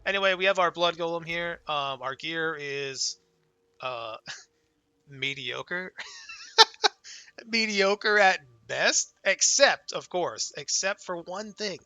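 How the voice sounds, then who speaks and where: A young man with a very bright, forward voice sits close to a dry, dead-sounding mic, chatting loosely about his game. He swears casually and sounds excited, though his voice is strained and fading from a cold. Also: a little high, slow, clean tone, no echo, dull audio. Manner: laid-back, enthusiastic, swears casually. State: voice going hoarse from illness. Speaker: gaming channel host. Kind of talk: casual gameplay commentary.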